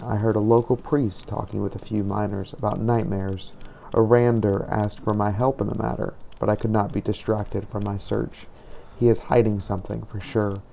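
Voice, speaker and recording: A man narrating a fantasy game story in character, in a measured, scripted delivery like a journal. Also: fairly low pitch, gravelly, warm tone, slight background noise.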